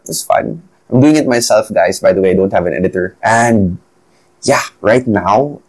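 Solo podcast host: Filipino-accented man, 30s, slightly low voice, reflective and chatty, lapel mic in a small room. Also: darkish tone, low-quality recording. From Philippines. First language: Bisaya. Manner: reflective, conversational, addresses viewers as guys. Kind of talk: solo talk to camera. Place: small indoor room at a desk.

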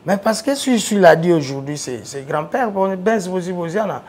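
A man's voice: high-pitched, fairly quick. A man with a Congolese French accent, a studio interview guest talking casually and animatedly about his music career. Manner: animated storyteller, rising loud when excited. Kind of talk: casual interview talk, mixing French and Lingala. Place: TV studio, seated on a sofa.

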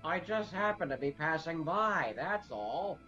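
An actor playing a stubborn young small-town man in a radio drama, halting and grudging. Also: fairly high pitch, expressive, sharp tone, dull audio, faint music behind. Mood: awkward, grudging.

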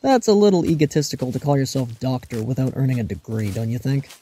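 A man's voice: medium pitch, fast talker, mellow, breathy edge, natural voice. A man playing a distrustful, emotionally broken young man in a fan audio drama, sarcastic and defensive. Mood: sarcastic, sceptical.

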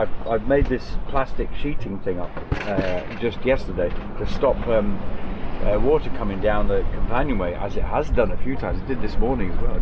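Older man rambling with loud sea wind. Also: fairly low pitch. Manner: rambling, plain-spoken, many restarts. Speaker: solo sailor vlogger. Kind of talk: casual vlog commentary. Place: outdoors in the cockpit of a sailboat at sea. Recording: muffled camera audio with loud wind and sea noise.

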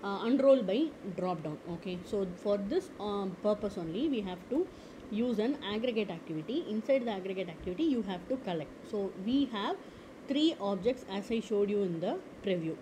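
A woman teaching a screen-recorded software lesson, explaining step by step with frequent "okay" and "uh" fillers. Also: medium pitch, lively intonation, fairly quick, slight background noise, rough recording. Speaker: tutorial instructor. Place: at a computer.

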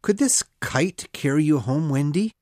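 A bold, stubborn storybook boy hero, acted out by a woman reader. The voice drops low and swings with feeling, brave and blunt, in a close, dry recording. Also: expressive, a little slow, a little mellow, dry recording. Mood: questioning. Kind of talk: storybook character voice.

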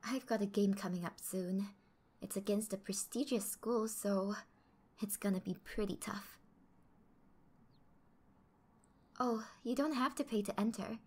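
A woman playing a friendly, sporty schoolgirl confiding in her crush, speaking softly and half-whispering in an ASMR roleplay. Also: mid-range voice, normal speed, husky, lightly acted. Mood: soft, casual. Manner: soft, half-whispered, casual.

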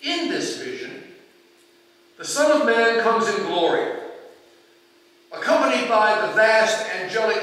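Older guy preaching in a big church, bright forceful voice, measured delivery, sounds far from the mic. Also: high-pitched. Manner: measured, deliberate, with rhetorical questions and pauses. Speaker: pastor preaching. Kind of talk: sermon. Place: large church sanctuary, indoors. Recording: very echoey church hall, distant microphone.

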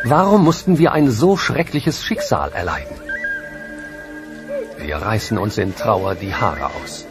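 An actor playing a grieving Aztec survivor, reading a solemn lament in a documentary. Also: male voice, lowish voice, sing-song, unhurried. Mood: mournful. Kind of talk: dramatic reading of historical testimony. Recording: voice over loud music. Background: music.

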